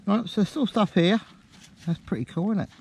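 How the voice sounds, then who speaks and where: A man's voice: high-pitched, normal speed, darkish tone. A middle-aged man chatting casually and informally, vlogging outdoors in an open field. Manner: informal, colloquial, matey. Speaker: metal detecting vlogger. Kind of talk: casual vlog chat and commentary. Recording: windy outdoor noise on action camera.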